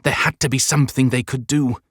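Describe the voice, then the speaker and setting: A man's voice: medium pitch, fairly even intonation, a little fast, a bit bright, dry recording, clean studio sound. A man reading dramatic scripted narration as an audiobook narrator. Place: dry recording studio.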